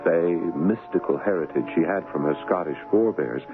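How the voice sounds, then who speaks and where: An actor playing a devoted, sceptical husband with an American accent in a 1970s radio drama. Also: male voice, low voice, brisk. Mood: reflective. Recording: tinny 1970s radio, music behind.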